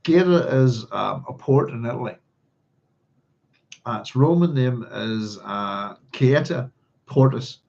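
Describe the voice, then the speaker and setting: A man with a Northern Irish accent and a slightly husky, mid-pitched voice rambles through an informal read-along of a novel. He often thinks aloud as he goes. The recording sounds a little dull and lo-fi. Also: slow, long pauses, darkish tone. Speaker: book club host.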